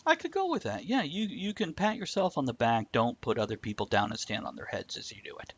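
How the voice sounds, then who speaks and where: A man with an average-pitched voice hosts a casual live chat show. He speaks bluntly, shares his opinions freely and curses now and then. The stream audio is a little dull and lo-fi. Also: big pitch swings, brisk, slightly rough. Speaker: host of a live talk show. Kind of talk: casual chat, answering live viewer comments.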